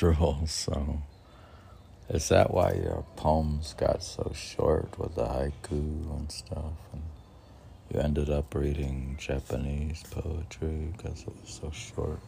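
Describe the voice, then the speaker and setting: A man rambling in a halting, musing way as a poet, asking himself questions and answering them, in an amateur recording. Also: a bass voice, creaky, warm tone, a little hiss or hum. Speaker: poet reading and discussing his own work. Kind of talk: rambling monologue of self-posed questions and answers.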